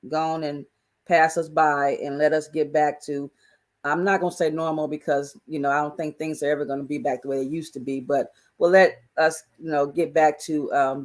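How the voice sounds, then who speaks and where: This is an easygoing man whose voice has gone hoarse, chatting casually as a co-host. He rambles, loops back with plenty of fillers, and sounds relaxed and unhurried. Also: on the high side. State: hoarse, losing his voice. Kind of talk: casual chat.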